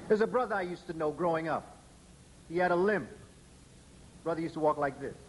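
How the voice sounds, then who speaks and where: A male educator addresses an audience in a slightly high voice. He paces his speech deliberately, pausing and stressing words to keep control of it, and sometimes spells a word out letter by letter. Faint ambient noise sits under the voice. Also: animated, low-quality recording. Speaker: educator giving a lecture. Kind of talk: public lecture to an audience.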